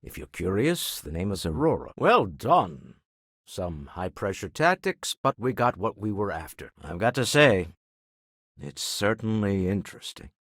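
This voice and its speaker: An actor playing a wealthy, urbane older businessman in a video game, wry and composed, with husky, measured, refined speech. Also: fairly low pitch, big pitch swings, fairly bright tone, dry recording, clean studio sound, exaggerated voice. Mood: businesslike, wry. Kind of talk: scripted video game character dialogue.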